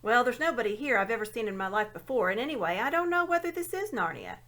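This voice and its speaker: Lady doing a grumpy storybook schoolboy, snappy and sulky, high voice swinging up and down. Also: lively intonation, quick pace, a bit bright. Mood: irritated, snappish. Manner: snappish, irritable.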